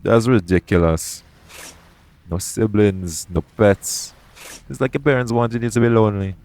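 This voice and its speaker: A man playing a roguish, caring pirate captain in an intimate audio roleplay, speaking in a soothing, gently teasing manner. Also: fairly low pitch, measured pace, a little hiss or hum. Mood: teasing, playful.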